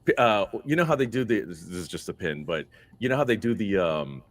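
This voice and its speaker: Male guest artist, very deep voice, candid off-the-cuff chat, curses freely. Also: very animated. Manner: blunt, swears freely, quick asides. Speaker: guest musician and mixer. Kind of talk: casual livestream chat.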